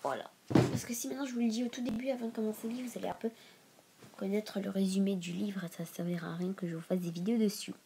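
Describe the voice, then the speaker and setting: A woman's voice: medium pitch, fairly even intonation, fast, background noise. A woman with a stuffy nose from a cold hosting a casual educational video, reading aloud and breaking into informal asides as she stumbles over long words. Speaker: video host.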